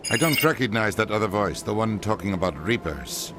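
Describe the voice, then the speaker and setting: A man's voice: lowish voice, lively intonation, a little fast, music in the background, lightly acted. A man playing an exasperated, sarcastic middle-aged ambassador in a video game, brusque and authoritative. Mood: skeptical, brusque.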